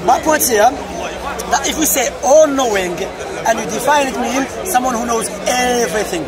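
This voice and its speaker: A man's voice: very high voice, normal speed. A young man, not a native English speaker, questioning insistently step by step in a street debate in a crowded park. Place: crowded city park outdoors. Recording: loud crowd noise outdoors. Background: crowd chatter.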